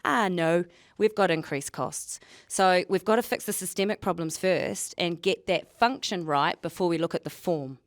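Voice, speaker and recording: A woman's voice: on the low side, moderate pace, few pauses, no echo, clean studio sound. A woman speaking emphatically and argumentatively at a public forum, repeating words for stress.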